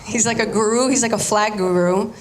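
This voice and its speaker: A woman's voice: medium pitch, steady tone, a little fast. Chatty, informal woman giving an artist talk. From United States, Cuban-American family. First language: Spanish. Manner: informal, chatty, restarts sentences often. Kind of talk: casual artist talk to an audience.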